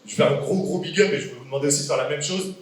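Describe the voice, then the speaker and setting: A man's voice: on the high side, rapid-fire, slight background noise. A man in his late twenties giving a casual, joking live talk to an event audience, quizzing the crowd as he goes. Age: 20s. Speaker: guest speaker. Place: large event hall with an audience. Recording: echoey hall from far off.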